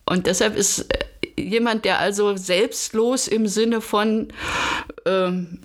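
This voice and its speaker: A woman's voice: medium pitch, fairly even intonation, slow pace. A woman from Berlin speaking as a podcast guest and coach, giving considered, structured answers in a conversational interview style. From Germany, Berlin.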